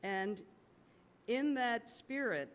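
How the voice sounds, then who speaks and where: A woman whose first language was not English, giving an unscripted thank-you speech in church that halts often. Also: animated. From United States. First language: Cantonese or Malay family language. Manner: halting, with many fillers and restarts. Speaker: church donor and board host. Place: large sanctuary. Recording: long hall reverb with faint rumble.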